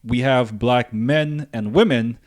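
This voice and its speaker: A man from Guyana co-hosting a casual opinion discussion, building his points deliberately with asides and questions. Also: mid-range voice. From Guyana, moved north when young. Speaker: podcast co-host.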